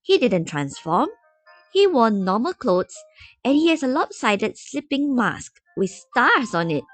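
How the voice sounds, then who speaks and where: A woman narrates a story in an expressive storybook style. Her pitch moves around a lot from phrase to phrase, and faint music plays behind her. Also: unhurried, no echo. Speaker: narrator, fan story reader.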